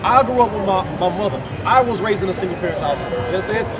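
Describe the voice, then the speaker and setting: Street preacher, man from Jamaica, high voice, insistent, heavy traffic and crowd behind. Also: very fast. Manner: emphatic, keeps asking "you understand?". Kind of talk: open-air street preaching. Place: outdoor city street. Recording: loud street noise and crowd. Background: crowd, traffic and sirens.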